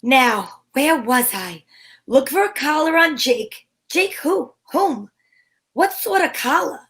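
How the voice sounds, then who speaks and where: Radio detective heroine, woman acting: chatty, slangy, wisecracking narration in a high female voice. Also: lively intonation, slow pace, a bit bright, heavily acted. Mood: wry, narrating.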